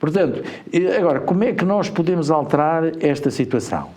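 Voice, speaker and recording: A man's voice: on the high side, lively intonation, fairly quick, a little mellow. A man from Portugal with a European Portuguese accent, a guest in a studio interview, explaining at length with frequent hesitant fillers. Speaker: guest, education group administrator.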